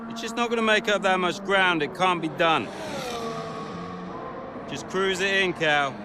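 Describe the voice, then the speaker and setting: A man's voice: sits high, unhurried, background noise. A man playing a cocky, controlling racing team figure in a game cutscene, curt and dismissive.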